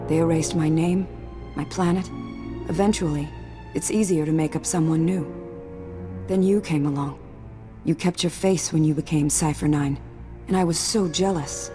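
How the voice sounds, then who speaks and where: A guarded female spy, played by an actress, speaks low and wistfully. It is confessional, dramatic game acting with music clearly behind. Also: fairly even intonation, normal speed, long pauses, natural voice. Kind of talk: scripted sci-fi game acting.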